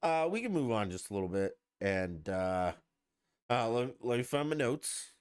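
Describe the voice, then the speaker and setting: A man's voice: mid-range voice, sing-song, unhurried, clean tone. A man hosting a podcast on his own, rambling and ranting with plenty of swearing. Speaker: podcast host. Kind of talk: casual solo podcast chat.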